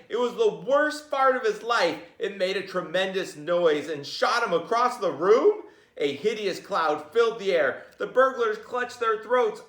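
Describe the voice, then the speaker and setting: A man reading a children's picture book aloud in an informal, jokey, expressive way, with chatty asides. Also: high voice, roomy sound.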